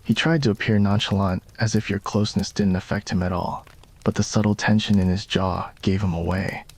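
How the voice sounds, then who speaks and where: A man with a fairly low voice narrates a romantic story in ASMR style. The delivery is scripted storytelling, read as a roleplay. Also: steady tone, fairly quick, a little mellow, dry recording.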